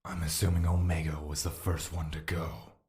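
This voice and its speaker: An actor playing a calm, probing confidant to a prince in an audio drama, measured and terse. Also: male voice, rumbling low.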